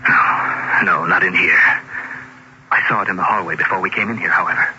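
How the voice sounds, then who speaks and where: A man's voice: low-pitched, a little fast, lightly acted. An actor playing a tormented, frightened man driven toward madness, in dramatic old-time radio horror style. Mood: anguished, confessing. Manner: dramatic, anguished, haunted. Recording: noisy, dull old radio recording.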